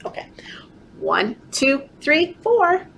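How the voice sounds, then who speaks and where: Woman, children's librarian, high voice, slow sing-song storytelling, animated and encouraging, noisy recording. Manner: animated, encouraging, talking to toddlers. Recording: clearly noisy background.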